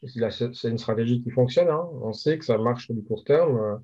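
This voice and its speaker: A man's voice: medium pitch, brisk, dark tone. A man from Belgium with a Belgian French accent, a podcast guest talking hesitantly with many fillers. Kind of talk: casual interview chat. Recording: slightly dull lo-fi audio.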